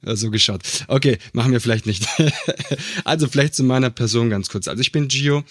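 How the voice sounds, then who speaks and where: A man from Germany speaks as a podcast guest at a middle pitch. His manner is enthusiastic and informal, with effusive wording in a casual interview style. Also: a little fast, a bit bright.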